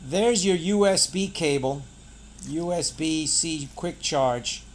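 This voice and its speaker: Higher-pitched man, casual unboxing host. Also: takes it slowly, a little hiss or hum. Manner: short, clipped phrases, pointing things out. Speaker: product reviewer and channel host.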